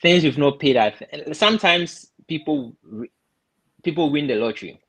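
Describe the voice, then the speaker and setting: A man from Ghana giving casual step-by-step advice, often pausing and repeating words as he thinks. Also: a little high, lively intonation, gravelly, a bit bright, husky. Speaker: guest explaining visa steps.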